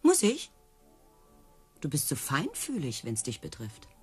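A bored mocking circus rider, radio drama, lowish raspy voice, very sardonic. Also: female voice, wildly swooping pitch, unhurried, soft background music, exaggerated voice. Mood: scornful, dry. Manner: dry, sardonic. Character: mocking, discontented female circus trick rider. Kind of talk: radio play acting.

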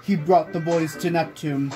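A young man reads aloud with amateur storytelling drama. His voice sits high for a man, and music plays clearly behind him in a small room. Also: steady tone, moderate pace. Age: young adult. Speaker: friend reading fan fiction aloud. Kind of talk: amateur read-aloud with casual chat. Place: small bedroom, indoors. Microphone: phone camera nearby.

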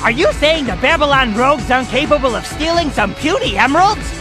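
A man's voice: very high voice, lively intonation, fairly quick. A man voicing a cocky, hot-tempered young hawk who leads a band of thieves in a video game cutscene. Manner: arrogant, impatient. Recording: music behind the voice.

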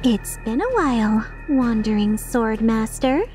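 A woman's voice: fairly high pitch, animated, a little slow, darkish tone, faint music behind. A woman voicing a cocky female mercenary leader in a video game fan dub, playful, sarcastic and taunting with an edge of menace. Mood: teasing menace.

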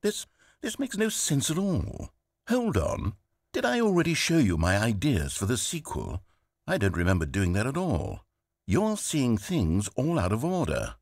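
A man's voice: wildly swooping pitch, unhurried, no room sound, exaggerated voice. An actor with a British accent playing a smug, scheming male game narrator, speaking theatrically. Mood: flustered. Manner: theatrical, swinging wildly in pitch. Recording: dry studio voice-over.

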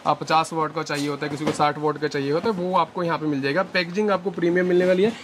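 A young man showing products in a warehouse, explaining things slowly with many fillers on a lapel mic. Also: fairly high pitch, steady tone, a little hiss or hum. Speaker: warehouse business owner. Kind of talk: product walkthrough. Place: large indoor warehouse with shelves.

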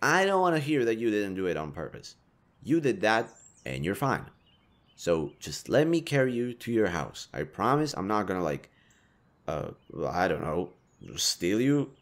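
A man acts out a kind young male stranger in an intimate roleplay audio drama. His voice sits fairly low and moves around in pitch a lot. He talks casually and a little awkwardly, teasing as he helps. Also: big pitch swings, normal speed. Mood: coaxing, teasing. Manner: casual, playfully teasing, mildly awkward, swearing.

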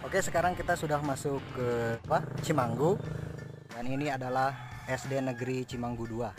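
A man's voice: mid-range voice, normal speed. A man, chatting casually as a motovlogger while riding a scooter outdoors, recorded on a helmet camera. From Cianjur, West Java, Indonesia. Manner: relaxed, chatty, excited on steep climbs. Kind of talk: casual motorcycle vlog narration. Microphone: helmet-mounted action camera. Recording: loud music bed under the voice.

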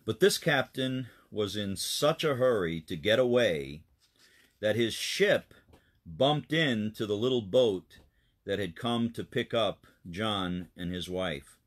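A man narrating a children's story in an expressive, dramatic storytelling manner. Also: fairly low pitch, takes it slowly. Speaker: pastor reading a children's story.

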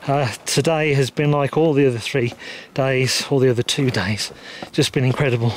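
A man's voice: medium pitch, fairly even intonation, moderate pace, a little hiss or hum. Upbeat man vlogging on a hike. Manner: chatty, upbeat, thinking aloud. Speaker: travel vlogger. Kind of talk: casual hiking vlog narration. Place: outdoors on a mountain trail. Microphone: action camera at arm's length.

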